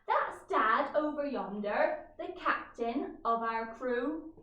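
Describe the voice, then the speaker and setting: A high, swooping young pirate lad from a storybook, acted out by a woman. He is jolly, cheeky and bold, heard from off in a very echoey room. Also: expressive, takes it slowly, bright, forceful tone, muffled recording, full character voice. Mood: jolly, proud. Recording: echoey room, distant mic.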